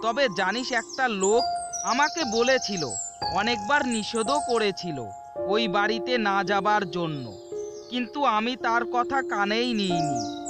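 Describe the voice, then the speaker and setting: A man playing a shaken young haunted-house survivor, earnest and dramatic, narrating and talking in a horror cartoon. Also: high-pitched. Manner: earnest, dramatic, halting repetitions. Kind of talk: first-person horror cartoon narration and dialogue.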